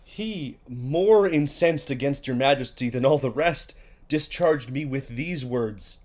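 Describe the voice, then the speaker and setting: A man's voice: medium pitch, slow, a little mellow. A man acting a dutiful royal messenger who reports formally and carefully in a Shakespearean audio drama. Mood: formal, reporting.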